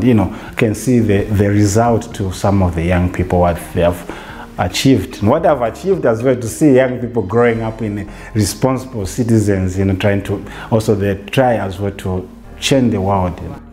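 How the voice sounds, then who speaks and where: A Zambian man in his forties, a theatre facilitator, talking reflectively in a relaxed interview in a bright living room, with restarts and "you know". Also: fairly low pitch, moderate pace.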